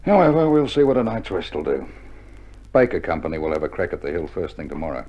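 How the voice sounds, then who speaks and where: An actor playing a genial, unflappable army officer in a hearty 1960s radio drama style. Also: male voice, sits low, animated, quick pace. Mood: calm, businesslike. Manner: hearty, jovial, chuckling understatement. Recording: muffled old vinyl radio drama recording.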